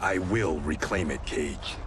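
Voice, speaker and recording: A male actor voicing a stern, earnest ex-gangster swordsman in a video game, speaking seriously and with measured delivery. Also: a bass voice, noisy background. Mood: irritated. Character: stern, earnest swordsman, ex-Yakuza clan man. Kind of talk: video game cutscene acting. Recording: loud music behind.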